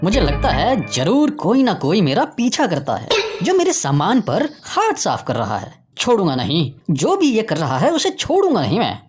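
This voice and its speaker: A woman plays a vengeful male thief from a village cartoon. The voice is low and animated, full of grumbling, with music behind it. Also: moderate pace, pauses often.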